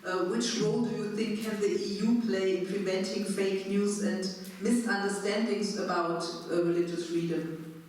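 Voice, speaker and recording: Lady moderating a panel, polite, hesitant, boomy hall, mic far off. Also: steady tone, moderate pace, a little mellow. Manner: polite, hesitant, thanking and asking. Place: large conference hall.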